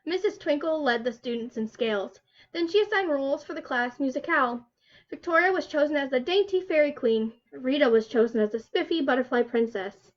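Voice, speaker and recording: A woman reading a children's storybook aloud in an expressive storytelling style, recorded from a livestream. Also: sits high, brisk. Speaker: children's story time reader. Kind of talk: animated storybook narration for children. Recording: dull lo-fi livestream audio.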